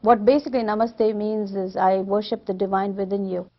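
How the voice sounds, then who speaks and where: A woman's voice: mid-range voice, little pitch movement, brisk. A woman explaining things articulately in an interview. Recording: slightly muffled interview audio.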